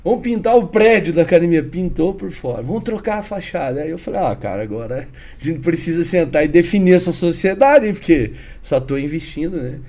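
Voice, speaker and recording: Chatty Brazilian man, dark mellow voice. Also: on the high side, brisk. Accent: Paraná interior Brazilian Portuguese. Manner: animated, chatty, frequent "cara" and "né". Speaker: podcast guest. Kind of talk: casual storytelling interview.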